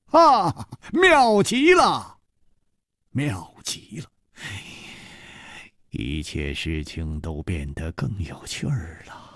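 A man voicing a suave, roguish martial-arts hero and master thief in an audiobook, playful, mocking and unhurried. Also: fairly high pitch, vocal fry, warm tone, no echo, studio quality, pitched above the performer's own voice, exaggerated voice.

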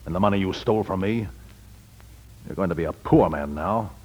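Fast, low American crime boss. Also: male voice, darkish tone, rough recording. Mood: menacing. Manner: curt, threatening. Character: ruthless, menacing male crime boss with a gun. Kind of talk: 1940s radio noir acting. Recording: band-limited 1940s radio recording.

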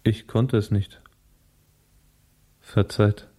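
A man playing an anguished, sensitive young revolutionary poet in a radio drama, speaking in a halting, intense, confessional way. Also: fairly low pitch, steady tone, mellow. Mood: shaken, defensive. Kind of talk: serious stage-like radio drama acting.

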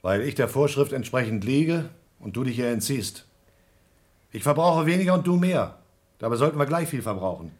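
A man's voice: medium pitch, lively intonation, normal speed, lightly acted. An actor playing an anxious, rule-bound, devout astronaut and family man in a radio play, speaking with tense, scolding insistence.

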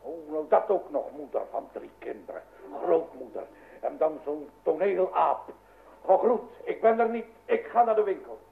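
A grumbling, jealous old husband in a radio play. His voice is high and gravelly, and he stammers and repeats himself as he frets. It sounds like a thin, noisy old radio recording. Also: lively intonation, moderate pace, narrow, tinny audio. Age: older. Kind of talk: Dutch radio play acting.

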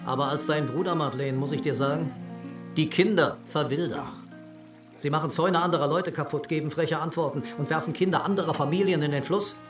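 A man's voice: a little high, fairly quick, recorded from a distance, natural voice. A man playing a self-important, disapproving brother who lectures his sister in a radio drama. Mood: pompous disapproval. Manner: fussy, lecturing, pompous. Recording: off-mic with music behind.

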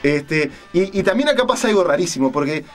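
A man with a Uruguayan accent hosting a casual radio chat, retelling stories in a halting, colloquial way and searching for words. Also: a little high, animated, soft background music. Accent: Uruguayan Spanish. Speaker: radio show host. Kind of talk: casual on-air chat, retelling a film and crime story.

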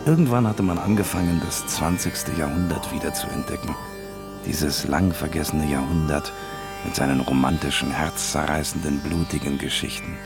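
An actor playing a world-weary, broke private detective, narrating a noir radio drama in a laconic, wry way. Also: male voice, a bass voice, moderate pace, gravelly, music in the background. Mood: reflective.

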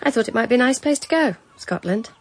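Brief: shy, prying companion, some vocal fry, faint hiss. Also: female voice, fairly high pitch, expressive, quick pace. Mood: curious. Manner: hesitant, probing. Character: timid companion longing to escape, prying. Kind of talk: radio drama acting.

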